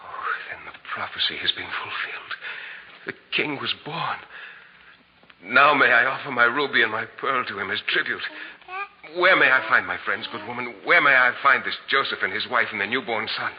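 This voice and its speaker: An actor in a 1940s radio drama playing a devout, compassionate Persian Magian with solemn, formal archaic speech. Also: male voice, medium pitch, lively intonation, normal speed, lightly acted. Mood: eager. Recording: muffled, noisy 1940s radio recording.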